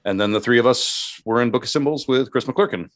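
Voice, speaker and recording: A man's voice: mid-range voice, brisk, a bit bright, dry recording, studio quality. A man who plays in bands, chatting casually as an interview guest and telling stories about his music. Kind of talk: casual chat, recounting band history.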